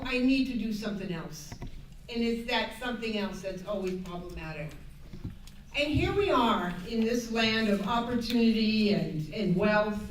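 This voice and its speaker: An older American woman giving an earnest, emphatic, unscripted advocacy talk as a panel speaker, often halting and rephrasing as she goes. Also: medium pitch. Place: echoey indoor room at a public panel event. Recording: echoey room, distant mic, background noise.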